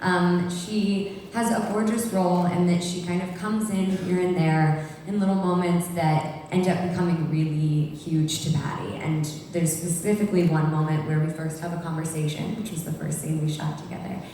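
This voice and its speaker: Actress, lowish voice, lively quick bursts, far off in a huge echoey hall. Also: female voice, slight background noise. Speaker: actress at a press conference. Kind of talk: panel answers at a press event.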